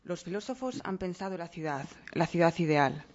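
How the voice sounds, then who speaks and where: A narrator reading a scripted documentary in an even, measured way. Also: mid-range voice, lo-fi sound.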